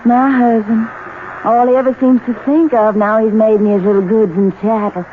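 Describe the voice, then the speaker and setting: An actress playing a coquettish, scheming young bride from the American South, cooing and teasing in 1970s radio drama style. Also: female voice, fairly high pitch, fairly even intonation, normal speed, slight background noise, natural voice. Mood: playful, needling. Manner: cooing, teasing, honeyed endearments. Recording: muffled phone-like vintage radio broadcast.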